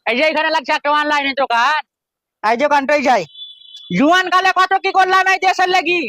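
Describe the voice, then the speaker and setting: A man's voice: very high voice, fairly quick, pushed and bright, no echo. A man playing an aggrieved old village man in a comic skit, complaining with rhetorical questions in a rural West Bengal accent. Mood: weary grumbling. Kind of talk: comic social skit acting. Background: faint music.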